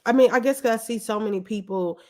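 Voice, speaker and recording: A woman's voice: on the high side, quick pace, a little mellow. A woman talking casually as an interview guest, informal and colloquial in a reflective way. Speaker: guest, videographer.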